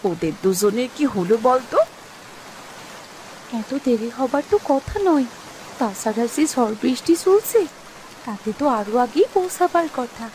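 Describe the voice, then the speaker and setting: A woman's voice: fairly high pitch, lively intonation, normal speed, pauses often, darkish tone, a little hiss or hum, natural voice, rough recording. A woman voicing an anxious village wife, the elder sister-in-law in a cartoon story, fretful and lamenting. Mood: puzzled, worried.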